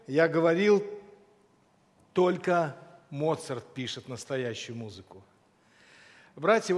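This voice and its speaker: A man preaching a sermon in a solemn, deliberate manner, as a preacher addressing a church conference. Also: high-pitched. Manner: solemn, deliberate, with quotations and scripture; frequent throat clearing. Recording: long hall reverb.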